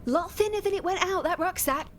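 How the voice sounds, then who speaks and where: An actress playing an exasperated, protective sister who scolds with sharp sarcasm in a radio soap. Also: female voice, extremely high pitch, brisk, noisy background. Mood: accusing, uneasy. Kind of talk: naturalistic radio soap acting.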